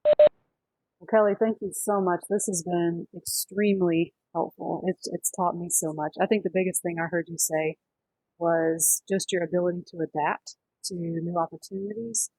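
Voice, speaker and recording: A woman's voice: lowish voice, fairly even intonation, normal speed, mellow, breathy edge, no echo, low-quality recording. A woman moderating an online career session as host, politely and informally, over a video call.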